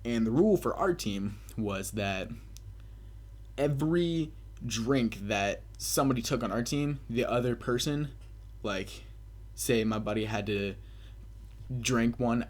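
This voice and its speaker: A young man with a mid-pitched voice rambles through a casual story as if chatting to his viewers. He swears freely and talks with crude, self-mocking bravado, in the loose style of gameplay commentary. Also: measured pace. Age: young adult. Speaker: gaming video commentator.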